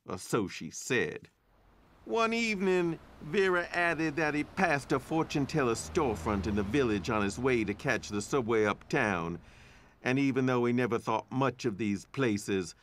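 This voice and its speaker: An actor playing a jealous, snobbish husband narrating an audio drama in a formal, measured way. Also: male voice, medium pitch, a bit bright, no echo, lightly acted. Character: jealous, snobbish husband recounting his wife's deceit.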